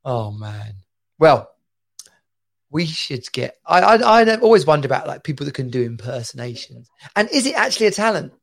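A man's voice: fairly high pitch, sing-song, normal speed, creaky, fairly bright tone. A man co-hosting a live-streamed chat show, rambling and chatty, laughing often. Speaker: podcast co-host.

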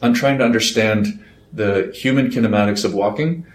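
A man from Iceland with a fairly low voice, explaining technical work at a calm, measured pace. He is recorded in a roomy space with noticeable echo. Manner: measured, explanatory, with small laughs. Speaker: interview guest, engineer. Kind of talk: casual interview.

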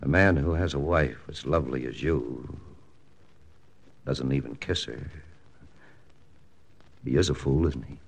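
An actor playing a hard-boiled army lieutenant, narrating in a clipped first-person style for a 1940s radio drama. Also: male voice, rumbling low, unhurried, vocal fry, pauses often, husky, full character voice. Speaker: narrator and lead. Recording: old radio broadcast with music.

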